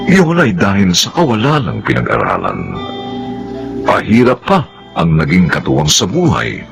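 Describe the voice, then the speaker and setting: A man's voice: low-pitched, huge pitch swings, normal speed, gravelly. A man narrating a radio drama in a dramatic, scripted style as its host. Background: plucked guitar music.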